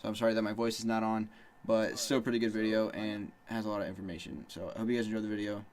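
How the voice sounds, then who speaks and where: A man's voice: on the low side, flat delivery, rapid-fire, a little mellow. A man giving rambling, casual gameplay tips, talking loosely with lots of "you know" and "like". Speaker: gaming channel host.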